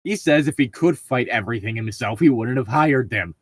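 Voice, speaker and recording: A man's voice: medium pitch, lively intonation, fairly quick, slightly rough, dry recording. A man casually reading out and joking about tabletop gaming stories, amused and given to swearing. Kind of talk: casual group banter and story reading.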